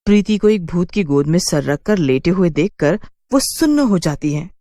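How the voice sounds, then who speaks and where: A woman's voice: fairly low pitch, expressive, normal speed, dark tone, no echo, clean studio sound. A woman giving scripted, dramatic narration for a horror cartoon. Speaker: story narrator.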